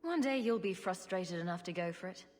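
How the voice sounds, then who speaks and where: A woman's voice: on the low side, animated, fairly quick, darkish tone, big-room sound, close to an everyday voice. An actress voicing a wry, self-assured young woman adventurer in a video game, with a teasing manner. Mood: frank, friendly. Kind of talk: video game character dialogue.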